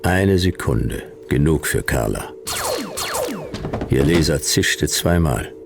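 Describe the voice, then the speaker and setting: An actor playing a hardboiled private detective in a sci-fi radio drama, laconic and dry, with a gravelly voice. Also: male voice, very deep voice, animated, slow pace, music in the background, heavily acted. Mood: laconic, deadpan. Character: hardboiled male private detective narrating his own sci-fi case. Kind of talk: radio drama narration and dialogue.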